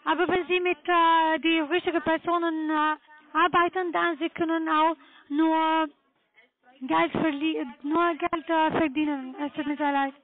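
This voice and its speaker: A woman with a foreign accent giving a halting, formal sales pitch as a telemarketer on the phone. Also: little pitch movement. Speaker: telemarketing caller, trading broker. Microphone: telephone call. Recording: tinny narrow-band phone line.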